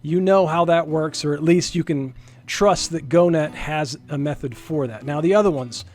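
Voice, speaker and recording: A man's voice: on the high side, normal speed, darkish tone. A man narrating an informal screen-share programming demo as a developer, explaining step by step in a casual way and stressing key points, with guitar music behind him. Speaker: software developer presenting a demo. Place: indoors, screen recording voice-over.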